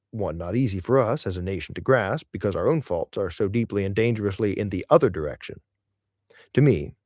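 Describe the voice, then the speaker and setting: A man's voice: fairly low pitch, darkish tone, no room sound, clean studio sound. A man reading literary essays aloud as an audiobook narrator, with theatrical, emphatic phrasing. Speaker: audiobook reader.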